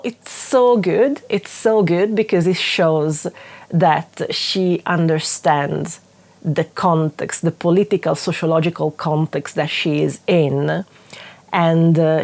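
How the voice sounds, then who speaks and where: A woman's voice: fairly low pitch, darkish tone. A woman from Northwest Italy with an Italian accent, chatting enthusiastically as she recommends other creators. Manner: enthusiastic, gushing, with frequent fillers and restarts. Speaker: witchcraft channel host. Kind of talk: casual recommendation vlog.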